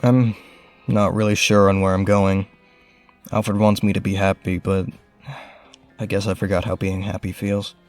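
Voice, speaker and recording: Man playing a low-voiced, lonely teenage orphan. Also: flat delivery, moderate pace, pauses often, darkish tone, soft background music, close to an everyday voice. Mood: subdued, unsure. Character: lonely, grieving, earnest orphaned rich teenage boy. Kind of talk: audio drama acting.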